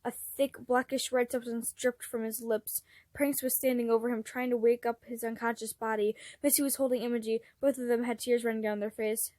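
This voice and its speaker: A woman's voice: on the high side, little pitch movement, brisk, a little mellow, no echo. A woman reading fan fiction aloud as an amateur narrator, expressively and with acted dialogue.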